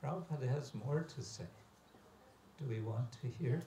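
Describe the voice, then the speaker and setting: A man giving a scriptural lecture as a religious teacher, reading aloud and commenting with deliberate, emphatic phrasing and pauses. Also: medium pitch, mellow. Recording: echoey room with background hiss and ambience.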